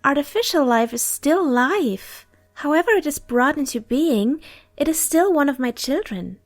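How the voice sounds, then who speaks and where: A woman's voice: high voice, expressive, no echo, faint music behind. A woman acting a composed, reasoning goddess of life and death in a fantasy audio drama, articulate and challenging. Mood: firm, composed. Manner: articulate, challenging, measured wording.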